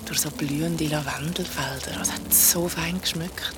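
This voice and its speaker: An actress playing a young female ghost in a radio play, talking in casual slang and halting, pensive recollection. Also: low voice, fairly even intonation, heavy background noise, close to an everyday voice. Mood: wistful recollection. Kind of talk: radio play dialogue and storytelling.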